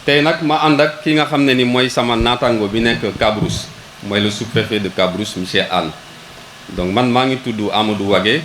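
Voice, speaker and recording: A man's voice: medium pitch, normal speed, fairly bright tone, a little hiss or hum, poor audio. A man with a Senegalese accent speaking as an official at a public ceremony, hesitant, often repeating words as he searches for the next one. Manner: hesitant, repeating words, switching between Wolof and French. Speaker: local government official.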